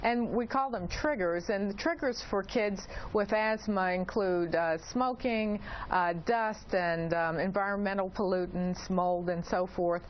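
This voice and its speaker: A woman speaking as an expert guest in an interview, explaining in a hesitant way with frequent pauses. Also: mid-range voice. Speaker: expert guest, principal investigator. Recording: dull lo-fi audio, clearly noisy.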